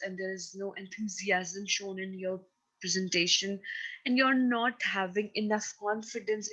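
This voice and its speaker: A woman with a Pakistani accent delivers a lecture in a steady, mid-pitched voice. She explains each point firmly and pauses to ask her listeners whether they are following. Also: poor audio. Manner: instructive, emphatic, checks in with listeners. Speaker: teacher. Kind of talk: recorded lecture on presentation skills.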